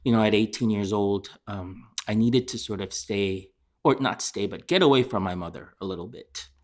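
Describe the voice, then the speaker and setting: A middle-aged chef from Korea, answering interview questions in a hesitant way with many fillers and restarts. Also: male voice, on the low side, normal speed, a little mellow.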